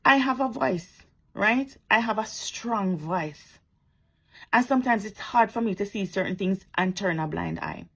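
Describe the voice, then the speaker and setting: A Jamaican woman lectures her followers with fervor, circling back to the same points again and again and slipping into sarcasm. Her voice is husky and slightly breathy, sitting at an ordinary middle pitch. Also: expressive, unhurried, fairly bright tone, poor audio. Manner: preachy, repetitive and sarcastic. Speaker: social media commentator. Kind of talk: impassioned commentary to viewers.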